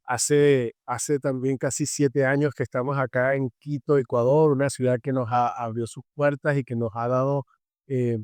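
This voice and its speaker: A man's voice: mid-range voice, level tone, moderate pace, clear, resonant tone, dry recording, studio quality. Venezuelan man hosting a faith podcast. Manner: warm welcoming, many fillers and restarts. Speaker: podcast co-host.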